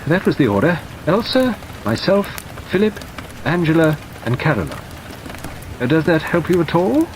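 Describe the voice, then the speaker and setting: A man puts on a fretful elderly country gentleman for an audiobook, his voice flying up high and swooping about whenever he protests. Steady rain hisses behind him. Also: normal speed, low-quality recording. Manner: flustered, hesitant, rising high in pitch. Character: fussy, gentle older English country gentleman.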